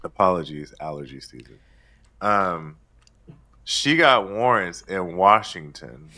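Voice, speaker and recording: A man's voice: deep voice, lively intonation, takes it slowly, pushed and bright. A man co-hosting a casual recap chat, giving dry, wry commentary. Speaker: podcast co-host.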